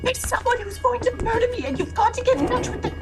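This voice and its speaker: An actress playing a frantic, demanding invalid wife, agitated and imperious, in a suspense audio drama. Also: female voice, extremely high pitch, animated, fast talker, over loud music. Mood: fearful. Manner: agitated, imperious, breathless pleading.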